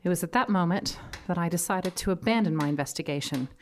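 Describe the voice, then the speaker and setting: A woman's voice: on the low side, quick pace, natural voice. A woman playing an earnest, inquisitive academic who narrates a gothic mystery on stage in a melodramatic storytelling manner. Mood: haunted narration. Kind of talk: scripted first-person narration in live stage drama. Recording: live stage recording with background noise.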